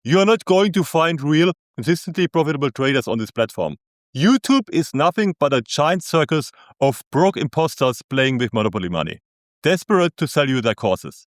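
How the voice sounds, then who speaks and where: A man's voice: fairly high pitch, big pitch swings, moderate pace, dry recording, clean studio sound. A man with a German accent delivering scripted commentary in a scornful, ranting, sarcastic manner.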